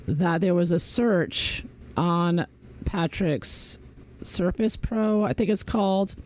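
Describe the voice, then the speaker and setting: Gravelly-voiced woman doing sardonic trial commentary. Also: fairly low pitch, warm tone. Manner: chatty, sardonic, laughs often. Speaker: true crime commentator. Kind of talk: casual live trial commentary.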